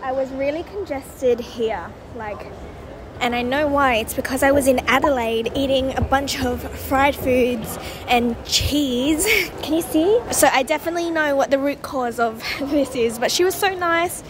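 A woman's voice: high voice, normal speed, noisy background. A young woman in her twenties chatting candidly to a handheld camera in a casual vlog, with asides and self-corrections. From Australia. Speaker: vlogger. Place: home and around the city, indoors and out. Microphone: handheld camera close to the face.

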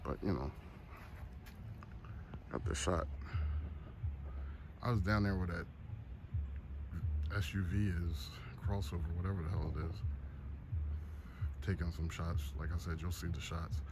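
A man's voice: deep voice, little pitch movement, measured pace, pauses often. A man, rambling casually in slangy, offhand, joking vlog chat. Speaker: podcast host and photographer. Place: outdoors by trolley tracks on a trestle. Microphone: handheld phone at arm's length. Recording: noisy outdoor phone recording. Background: clear outdoor ambient noise.

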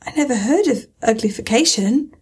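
A woman's voice: fairly high pitch, lively intonation, moderate pace, breathy edge, pitched above the performer's own voice. A woman reading aloud and voicing a polite, curious young girl who answers back with prim indignation.

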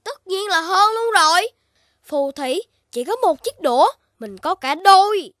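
A woman's voice: very high voice, animated, unhurried, a bit bright, no echo, clean studio sound, heavily acted. A woman voicing a playful, boastful little boy puppy in a children's cartoon, with a childlike, sometimes whiny delivery. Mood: boastful, excited. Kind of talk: animated children's cartoon acting. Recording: music behind the voice.

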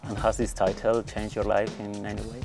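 Gravelly-voiced male interviewer. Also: fairly low pitch, monotone, a little fast. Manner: brief, polite prompts with short acknowledgments. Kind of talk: scripted interview questions. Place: outdoor terrace, hillside view. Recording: music clearly heard under the voice.

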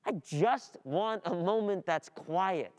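Anxious, distracted young student, performed by an actor, mid pitch, halting and repetitive, radio play monologue. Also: natural voice. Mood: anxious, overwhelmed.

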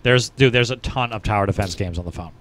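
A man's voice: on the low side, fast, fairly bright tone, dry recording. A man chatting casually as a podcast co-host, emphatic and opinionated, on a close studio microphone. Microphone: close podcast microphone, headset.

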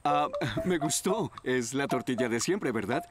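An actor playing a kindly, bemused cartoon father, a middle-aged man. His pitch is medium and very animated. Loud music plays behind him. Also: unhurried, lightly acted. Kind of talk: animated children's cartoon dub.

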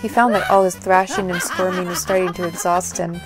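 A woman's voice: fairly low pitch, steady tone, fairly quick. A woman reading scripted story narration as the narrator of an audio drama. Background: prominent music.

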